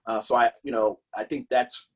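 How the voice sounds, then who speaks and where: A man speaking as a political candidate, answering a policy question in a halting, slightly husky voice that often repeats and corrects phrases. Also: mid-range voice, fairly even intonation.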